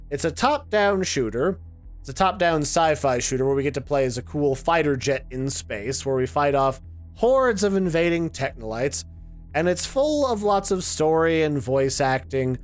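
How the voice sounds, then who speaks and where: Guy doing casual game preview commentary, slightly high voice, chatty, music behind him. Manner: chatty, colloquial, opinionated. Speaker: host of a game preview channel.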